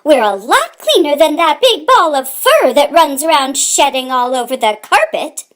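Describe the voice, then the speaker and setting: A woman's voice: high-pitched, sing-song, moderate pace, fairly bright tone. A woman voicing an indignant, house-proud mother mouse from a storybook, scolding with emphasis.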